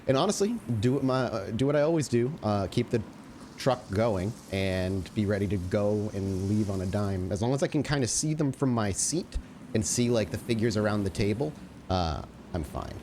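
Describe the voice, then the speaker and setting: A man's voice: on the low side, expressive, normal speed, a little mellow, a little hiss or hum. A man chatting informally and with some swearing as a player in an improvised tabletop roleplaying game.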